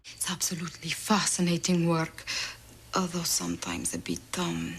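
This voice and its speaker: Sly vampire neighbor, low voice, swooping intonation, hesitant, insinuating, television drama. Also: female voice, big pitch swings, moderate pace, a little hiss or hum. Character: sly, sinister vampire neighbor, politely secretive. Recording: TV clip.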